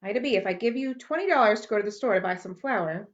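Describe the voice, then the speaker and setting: A woman's voice: mid-range voice, expressive, fast talker, close to an everyday voice. A woman reading aloud and voicing a patient, slightly exasperated mother who teaches her daughter at home. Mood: teacherly. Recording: dull lo-fi recording.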